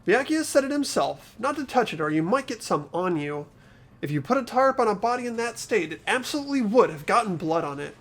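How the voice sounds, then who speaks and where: A man's voice: high-pitched, sing-song, fairly quick, exaggerated voice. A man voicing an anxious, earnest teenage boy in fan-made visual novel game dialogue. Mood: reasoning. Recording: music behind the voice.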